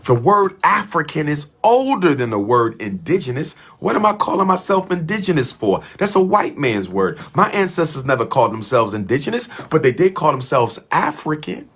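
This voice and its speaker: A husky-voiced man delivering a fiery speech. His pitch swings widely as he drives each point home. He leans on repetition in a rolling, chant-like cadence. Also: big pitch swings, moderate pace, few pauses, fairly bright tone. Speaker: speaker addressing an audience. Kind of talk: impassioned oratory.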